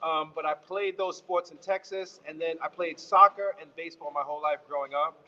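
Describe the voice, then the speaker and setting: A man's voice: fairly high pitch, fairly even intonation, fairly quick, pushed and bright. A man from Brooklyn telling his life story as an interview guest, rambling and correcting himself as he goes, with easy laughs. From Brooklyn, New York. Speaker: guest author. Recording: dull sound with long reverb.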